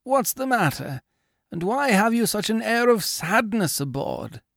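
A man voicing an eager, anxious middle-aged ship owner in a storybook reading, raising his voice high and questioning. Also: very animated, a little slow, creaky, a bit bright, no echo, studio quality, exaggerated voice.

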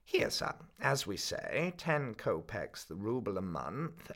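A man's voice: lowish voice, fairly bright tone, heavily acted. A man voicing a withered, distrustful old woman pawnbroker who haggles curtly and talks down to her visitor. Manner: curt, haggling, condescending.

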